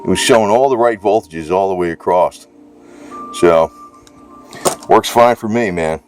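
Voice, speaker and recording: A man's voice: deep voice, a little slow, a little mellow, soft background music. A man casually reviewing gear in a rambling, colloquial way, full of informal slang, recorded indoors at home. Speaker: video creator.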